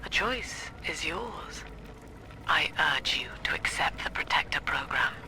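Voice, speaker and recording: An actor playing a coldly persuasive interrogator in a video game, speaking in a measured, formal and insistent way. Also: male voice, on the high side, lively intonation, sharp tone, in a whisper, heavily acted, low-quality recording. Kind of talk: dramatic video game voice acting.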